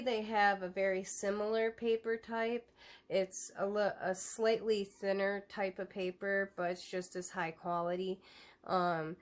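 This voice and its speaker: A woman with a stuffy nose from a cold talks slowly through a coloring book flip-through. She chats casually and unhurriedly, often pausing on "um" and starting a phrase over. Her voice sits at an ordinary middle pitch. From United States. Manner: chatty, with frequent ums and restarts.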